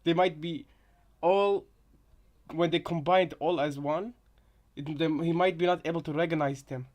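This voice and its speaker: Higher-voiced man, tentative reaction chat. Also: fairly even intonation, normal speed, a little mellow. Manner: tentative, repetitive, rambling. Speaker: co-host reacting to game voice lines. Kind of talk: casual reaction commentary.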